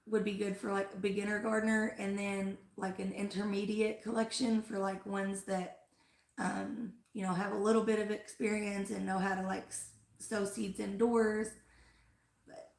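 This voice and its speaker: Gravelly-voiced woman rambling on a livestream. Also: medium pitch, level tone, normal speed, a bit bright. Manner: rambling, fills with "like" and "um". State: losing her voice after an illness. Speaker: flower farmer hosting a livestream. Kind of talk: casual livestream chat. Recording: echoey room.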